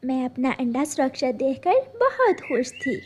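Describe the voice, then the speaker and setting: A woman's voice: high-pitched, sing-song, a little slow, darkish tone, a little hiss or hum. A woman voicing a devoted, anxious mother sparrow telling her story earnestly for a children's cartoon. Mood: tender.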